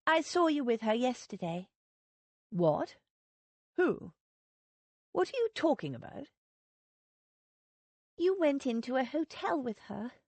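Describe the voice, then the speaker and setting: A woman voicing an anxious young wife who pleads tearfully in a high, rising voice. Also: dry recording, exaggerated voice.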